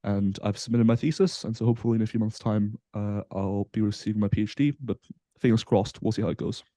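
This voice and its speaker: A man in his twenties from Belgium, a guest on a casual podcast interview, explaining in a relaxed way with frequent fillers. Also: lowish voice, quick pace, dry recording. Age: 20s. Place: Southampton, UK.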